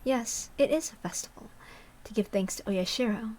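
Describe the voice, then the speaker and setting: A woman's voice: fairly high pitch, normal speed, a little mellow, close to an everyday voice. A woman voicing a sly, refined female clinic worker who teases in a genteel, cryptic way. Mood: teasing, refined.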